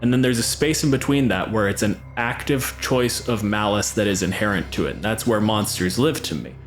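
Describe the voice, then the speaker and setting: A man's voice: fairly low pitch, brisk, soft background music. A man hosting a podcast, chatting casually and with opinions, swearing freely as he makes his points. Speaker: podcast host.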